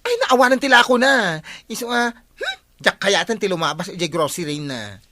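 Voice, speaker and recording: A woman's voice: medium pitch, very animated, moderate pace, bright, forceful tone, no echo. A woman playing a gossipy, shameless village debtor in an Ilocano radio comedy drama, with an Ilocano accent and brazen delivery. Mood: gossipy, evasive.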